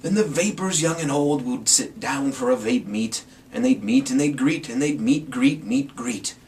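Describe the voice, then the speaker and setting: A man's voice: medium pitch, animated, moderate pace, a little mellow. A man giving a playful, theatrical dramatic reading of a rhyming Christmas story as the storyteller. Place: room with a green screen. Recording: distant mic, some background noise.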